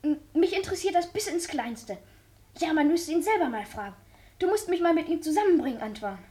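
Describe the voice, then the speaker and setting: High, mellow, inquisitive young boy. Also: lively intonation, a little fast. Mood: eager, probing. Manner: inquisitive, pressing. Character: eager, curious young boy in hospital. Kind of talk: German radio play acting.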